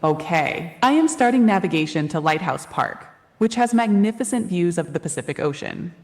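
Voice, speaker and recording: Calm female ai assistant, played over a hall. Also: fairly low pitch, normal speed. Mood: helpful. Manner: even, informative delivery. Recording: long hall reverb.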